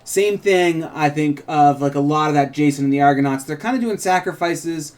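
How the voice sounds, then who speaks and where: A man with a moderately high voice chats as a writer and host. He thinks aloud, rambling casually through creative ideas in loosely joined phrases. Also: normal speed. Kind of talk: casual creative discussion and script narration.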